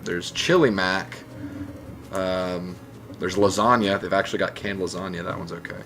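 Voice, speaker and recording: A man's voice: deep voice, moderate pace, a bit bright. A man talking casually as a guest on a group podcast. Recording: faint background noise.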